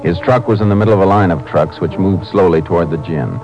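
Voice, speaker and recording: An actor playing a calm, firm Texas Ranger with a laconic, matter-of-fact manner in a 1950s radio drama. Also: male voice, deep voice, fast talker, dull audio, soft background music, close to an everyday voice. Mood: measured. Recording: noisy vintage radio broadcast.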